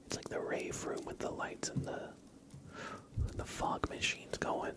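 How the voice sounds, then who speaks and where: A man hosting an ASMR livestream in a hushed, breathy whisper with a deliberate, unhurried delivery. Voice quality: breathy, mostly unvoiced whisper. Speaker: ASMR live streamer and host.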